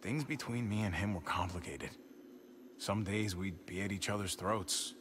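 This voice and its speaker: A man's voice: low-pitched, moderate pace, background noise, natural voice. An actor playing an earnest, protective American man surviving in a video game drama, speaking sincerely and firmly. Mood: measured. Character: earnest, protective young survivor, loyal younger brother.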